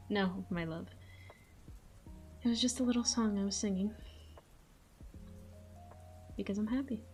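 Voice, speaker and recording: A woman playing a tender, melancholy vampire lover in an intimate roleplay monologue, murmuring slowly and slipping into whispers. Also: medium pitch, mellow, natural voice. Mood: soothing, affectionate. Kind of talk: intimate ASMR-style audio roleplay monologue.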